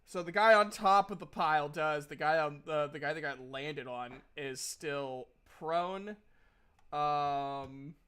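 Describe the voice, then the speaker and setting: A man's voice: fairly high pitch, pushed and bright, smooth. A man narrating and refereeing a tabletop fantasy game as game master in an informal, casually sweary way.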